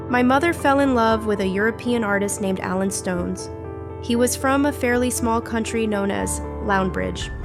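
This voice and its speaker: A woman plays a bitter young female villain telling her life story in a comic dub. Her voice sits at an ordinary pitch for a woman, with music clearly playing underneath. The delivery is scripted dramatic narration. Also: natural voice. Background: background music.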